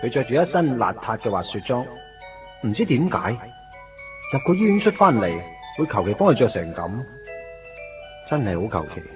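A man's voice: medium pitch, very animated, normal speed, long pauses, close to an everyday voice. An actor playing a wry, put-upon young man in a Cantonese radio drama, conversational and self-mocking with a Hong Kong accent. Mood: bemused, deadpan. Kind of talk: radio drama narration and dialogue. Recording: dull radio recording with music underneath.

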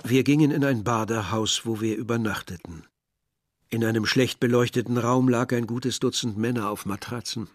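An actor playing a young villager who tells his story in a measured, literary way, as narration in a radio drama. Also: fairly low pitch, no echo, studio quality. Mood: sober, descriptive. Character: young Algerian villager narrating a grim search for remains. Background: music.

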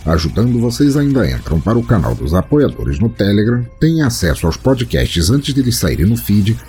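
A Brazilian man delivers a scripted podcast intro in a grandiloquent, playfully surreal style. His voice is low and dark-toned, swinging widely in melody. Ambient noise is clearly audible behind him. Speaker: podcast host.

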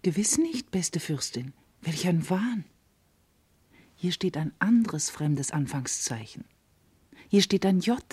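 A woman playing a sharp-tongued, scorned servant wife in a German classical verse radio drama, with emphatic theatrical delivery. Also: lowish voice, sing-song, slow, pauses often, breathy edge, exaggerated voice. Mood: hushed, dismayed. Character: sharp-tongued, scorned servant wife, scolding yet devoted to her mistress.

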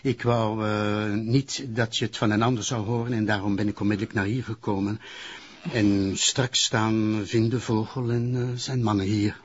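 An awkward Flemish public prosecutor in a TV crime drama. His voice is rough and husky, and he picks his way haltingly through formal sentences. The sound is slightly dull. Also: lowish voice, flat delivery. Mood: reluctant. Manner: hesitant, halting. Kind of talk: naturalistic television crime drama acting.

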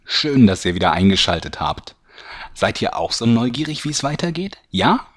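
An actor playing a jovial storyteller who narrates a parody fantasy audio drama in a scripted style. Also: male voice, mid-range voice, big pitch swings, moderate pace, fairly bright tone, no echo, clean studio sound. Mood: jovial storytelling. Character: jovial male storyteller addressing his listeners.

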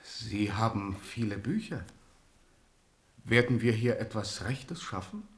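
A man's voice: lowish voice, expressive, slow pace. A man playing a suspicious, fearful and imperious king in a German radio play. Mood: anxious, impatient. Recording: roomy studio echo.

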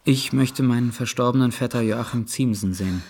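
An actor playing a polite, reserved young gentleman who speaks in a courteous, measured way in a radio play. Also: medium pitch, natural voice. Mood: earnest, hesitant.

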